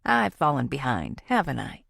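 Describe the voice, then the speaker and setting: A woman's voice: extremely low pitch, wildly swooping pitch, moderate pace, creaky, a bit bright, no room sound, studio quality, voice pushed down, heavily acted. A woman voicing a charming, easygoing young man with a playful, teasing manner in an audiobook. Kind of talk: audiobook character dialogue.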